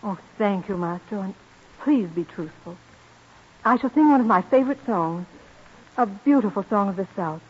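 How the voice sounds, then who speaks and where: A woman's voice: mid-range voice, expressive, moderate pace, slightly rough. A woman playing a young American prima donna in a radio drama, modest, proud and earnest, speaking politely and formally. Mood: grateful, modest. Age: young adult. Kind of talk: old-time radio drama acting. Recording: muffled vintage radio broadcast.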